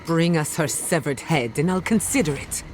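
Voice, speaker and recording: A woman's voice: low-pitched, expressive, normal speed. A woman playing a blunt, hot-tempered companion in a fantasy adventure game, with a direct way of talking. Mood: angry, defiant. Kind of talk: scripted fantasy game dialogue.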